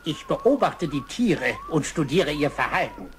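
An actor playing a sly animal hunter who poses as a jovial professor, oily, theatrical and mock-polite, in a cartoon dub. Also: male voice, a little high, very animated, a little slow, a little hiss or hum. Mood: oily, flattering. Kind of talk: German-dubbed cartoon dialogue.

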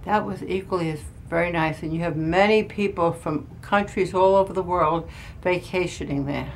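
An older woman interviewed in a small ship cabin, answering in a halting way, hunting for words and restarting. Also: fairly low pitch, a little hiss or hum, low-quality recording. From New York area. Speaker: interviewee, cruise passenger. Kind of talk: casual chat. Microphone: handheld camera close up.

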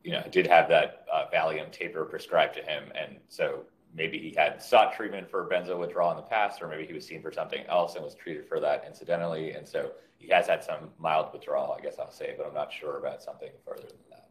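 A middle-aged man, a physician, presenting a clinical case on a video call in a measured, slightly hesitant way. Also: rumbling low, fairly even intonation. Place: indoor room on a video call.

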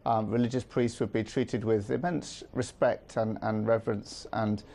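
A man's voice: on the low side, steady tone, vocal fry, dark tone, slight background noise. Earnest middle-aged man in a news interview. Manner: measured, earnest, with frequent ums. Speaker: interview guest. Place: studio with city view.

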